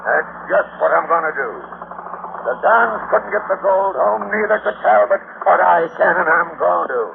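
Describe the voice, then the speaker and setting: An actor playing a greedy, murderous sea captain in a 1940s radio thriller, with a gruff, salty, barking delivery. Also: male voice, sits high, normal speed. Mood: gloating. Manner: barking, salty sailor's vernacular, menacing. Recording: scratchy, tinny, noisy 1940s radio recording.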